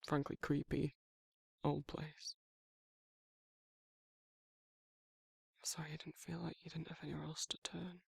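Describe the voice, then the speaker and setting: A man playing a protective, awkward but tender werewolf in an intimate ASMR roleplay, speaking in a hesitant, husky British murmur. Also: fairly high pitch, flat delivery, no echo, clean studio sound, full character voice. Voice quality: husky, gravelly, breathy. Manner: halting, hesitant, self-correcting, softly murmured.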